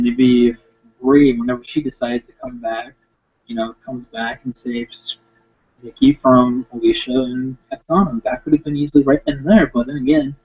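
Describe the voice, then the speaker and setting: A man ranting casually and sarcastically about wrestling, rambling and slipping into quick impressions. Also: mid-range voice, breathy edge. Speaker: wrestling commentator. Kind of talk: casual rant. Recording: tinny phone-like lo-fi sound.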